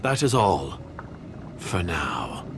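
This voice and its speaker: An actor playing a cold, cautious foreign agent in a video game, measured, guarded and condescending. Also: male voice, deep voice, sing-song, vocal fry, very noisy, full character voice. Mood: cool, guarded. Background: background music.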